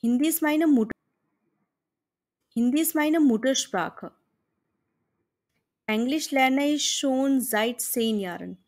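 A woman with an Indian accent voicing a polite, hopeful young Indian woman looking for a job, in slow, carefully pronounced scripted dialogue for learners. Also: high-pitched, a little mellow. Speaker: German teacher.

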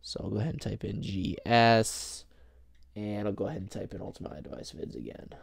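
A man's voice: on the low side, brisk, vocal fry, husky, no room sound. A young man casually walking through a phone demo step by step, chatty with small self-conscious laughs. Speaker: tech tutorial host. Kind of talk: casual screen-demo walkthrough. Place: indoors at a table, filming a handheld phone. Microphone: camera mic behind the phone.

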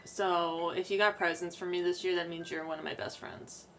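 A young woman streamer chatting casually and softly while wrapping gifts on her bedroom floor, stammering a little now and then. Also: lowish voice, normal speed, slight background noise, poor audio. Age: young adult. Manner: soft-spoken, relaxed, stammers a little. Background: background music.

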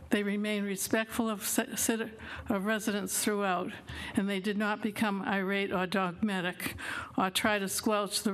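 An older woman at a council podium mic, reading a prepared public comment in a steady, measured way. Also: mid-range voice, slight background noise. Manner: reads from paper, steady and measured. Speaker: resident speaking at public comment. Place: city council chamber at a podium. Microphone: podium gooseneck mic.

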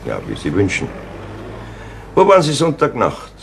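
Deep, wry Bavarian police inspector. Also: male voice, huge pitch swings, moderate pace, creaky, a bit bright, full character voice. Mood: dry questioning. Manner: dry, ironic, courteous authority. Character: wry, unhurried male Munich police chief inspector. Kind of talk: 1970s German crime radio play acting. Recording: noisy old 1970s radio recording.

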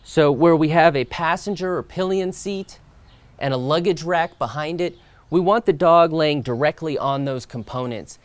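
A man in his thirties presenting a product outdoors, explaining in a relaxed way with the odd filler and restart. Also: on the high side, moderate pace, no echo. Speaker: company co-founder presenting product. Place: outdoors by a stone wall.

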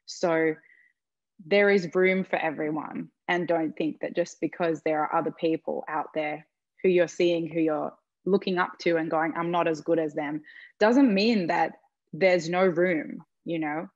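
A woman's voice: low voice, steady tone, a little slow, darkish tone, dry recording. A woman, a panelist on a video call giving earnest, encouraging advice. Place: home room with plain walls. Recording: slightly dull video-call audio.

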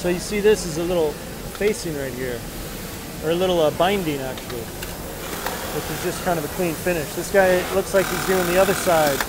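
A man's voice: on the high side, brisk. A middle-aged man, a denim designer, casually explaining the work as he walks a large factory floor and points things out, recorded on a wearable camera mic. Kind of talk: casual walkthrough narration. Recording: loud machine and air conditioning noise.

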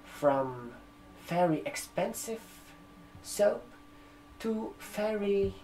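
A man in his twenties with a non-native English accent, speaking gently and haltingly in an ASMR roleplay as a barber shop receptionist. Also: high voice, expressive, rough recording. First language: not English. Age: 20s. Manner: gentle, halting, drawn-out phrasing with check-in questions. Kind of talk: ASMR roleplay, soft customer-service talk. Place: indoor room, seated at a desk with a laptop. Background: computer keyboard typing.

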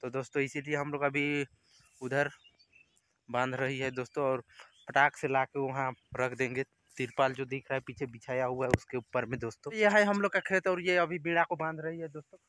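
A man's voice: medium pitch, steady tone, brisk, fairly bright tone, slight background noise. Young man vlogging from farm fields. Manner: chatty, keeps addressing viewers as friends. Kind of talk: casual outdoor vlog narration. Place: outdoors in open farm fields. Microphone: phone selfie camera at arm's length.

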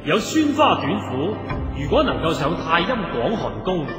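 An ancient dragon god in a radio play. He makes stately, solemn pronouncements with big swings of pitch, ringing in a long echoing reverb over prominent music. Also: big pitch swings, moderate pace. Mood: grave, instructive. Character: solemn male dragon god of healing, ancient and all-knowing. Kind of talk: Cantonese radio drama acting.

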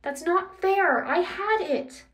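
A woman's voice: very high-pitched, lively intonation, slow, a bit bright, distant mic, voice pushed up, heavily acted. A woman voicing a hot-headed, easily flustered heister in a story, protesting in a heated, whiny way. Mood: sulky. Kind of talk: acted audio fiction narration.

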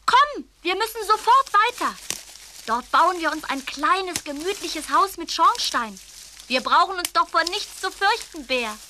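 A woman's voice: very high-pitched, expressive, a little slow, pushed and bright, slight background noise, exaggerated voice. A woman playing an eager, naive little tiger cub in a children's audio play, childlike and exclaiming. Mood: delighted.

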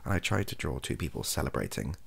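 A young British man, softly spoken, rambling hesitantly through an opinion monologue in a gravelly, husky voice and often trailing off. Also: rumbling low, big pitch swings, fast talker, a little hiss or hum. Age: young adult. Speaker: ASMR YouTuber. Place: small home room, indoors.

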